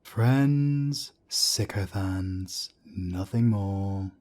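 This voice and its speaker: A man playing a wry, world-weary werewolf council elder in an audio roleplay drama, speaking in a measured, deliberate way. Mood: wry, amused. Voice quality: deep, husky.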